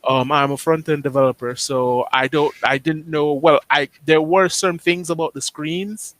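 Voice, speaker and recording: A man's voice: a little high, fairly even intonation, moderate pace, a bit bright. A man, a front-end developer, talking casually on a video call, hesitant, with lots of fillers and restarts.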